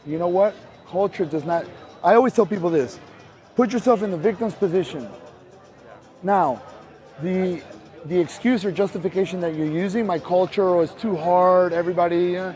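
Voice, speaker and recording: A man's voice: high voice, moderate pace, a little mellow. A man doing street outreach as an animal rights activist, talking outdoors on a city street in an earnest, emphatic way and pressing his points with rhetorical questions. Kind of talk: persuasive one-on-one street outreach.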